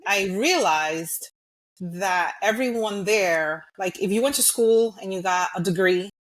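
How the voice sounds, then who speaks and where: A woman's voice: medium pitch, measured pace, low-quality recording. A young woman from New York, a guest in a casual interview, talking easily and laughing often. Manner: easygoing, laughs often, mixes in Spanish words.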